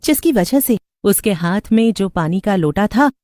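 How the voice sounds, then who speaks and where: A woman's voice: medium pitch, expressive, normal speed, warm tone, dry recording. A woman narrator reading a scripted horror story.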